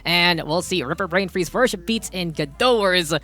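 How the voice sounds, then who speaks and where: A Filipino man hosting and casting a live esports match, animated and informal, mixing English and Tagalog as he hypes the game. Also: sits high, moderate pace. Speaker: esports shoutcaster and panel host. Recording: music bed under the commentary. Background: music.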